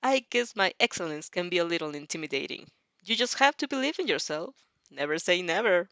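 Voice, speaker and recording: A cheerful teenage boy acted out by a woman for an audio story. He is a friendly gamer who likes to show off a little, talking in casual slang and quick to encourage, recorded close and dry. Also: lowish voice, expressive, sharp tone, dry recording. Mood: proudly boastful.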